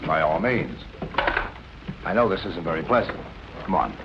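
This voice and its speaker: An actor playing a dry, businesslike police captain questioning suspects in 1940s film noir style. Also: male voice, low voice, sing-song, normal speed, gravelly, muffled recording, exaggerated voice. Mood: dry, probing. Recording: noisy old film soundtrack.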